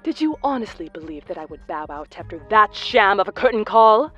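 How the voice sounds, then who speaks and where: A woman's voice: medium pitch, big pitch swings, fairly quick, bright, forceful tone, highs cut off, soft background music. A woman voicing a haughty, grandiose sorceress villainess in a fan cartoon, stagey and disdainful. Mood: menacing.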